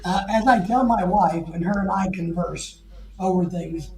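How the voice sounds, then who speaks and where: A man's voice: high-pitched, moderate pace, darkish tone. A man hosting a livestream who jokes and teases while he reads chat comments aloud. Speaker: livestream host. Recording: echoey room.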